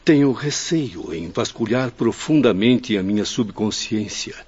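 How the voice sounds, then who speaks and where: Husky, fearful learned spirit. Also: male voice, lowish voice, animated, unhurried, a little mellow. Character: fearful, learned male professor, suicide spirit. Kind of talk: radio drama acting. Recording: dull, muffled radio drama recording.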